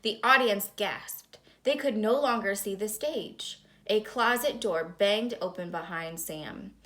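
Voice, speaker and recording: Female storybook reader, bright forceful voice, dramatic and suspenseful narration for young listeners. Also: medium pitch, takes it slowly. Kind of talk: expressive children's read-aloud narration.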